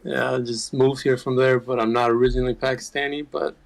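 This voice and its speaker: A man's voice: mid-range voice, level tone, brisk, vocal fry, dry recording. A man who is a guest content creator, chatting in a laid-back, casual way with easy slang. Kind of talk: casual podcast chat.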